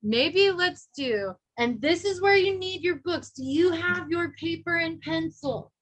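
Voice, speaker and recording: A woman teaching an adult English class in a high, bright voice. She speaks slowly and patiently and praises her learners often. She spells out each idea so they can follow. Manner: patient, encouraging, models words slowly.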